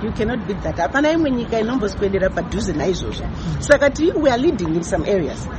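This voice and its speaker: A woman's voice: medium pitch, very animated, fast talker, a crowd in the background. A woman being interviewed, speaking emphatically and repeating words for stress. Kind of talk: interview, mixing Shona and English. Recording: noisy outdoor recording by traffic.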